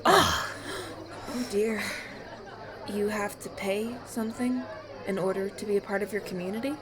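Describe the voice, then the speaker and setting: Frau spielt idealistische Elfin im Stimmengewirr. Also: female voice, medium pitch, steady tone, moderate pace, close to an everyday voice. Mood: shocked. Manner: earnest, questioning. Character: idealistic, naive elven woman of the tribe. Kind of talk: fantasy audio roleplay acting. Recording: banquet crowd chatter behind.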